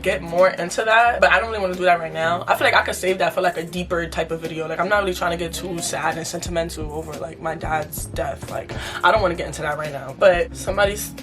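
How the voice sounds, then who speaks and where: A young woman, answering viewer questions in a candid, chatty way and filling her talk with "like". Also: on the low side. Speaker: vlogger. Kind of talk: casual Q&A monologue. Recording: music playing clearly behind.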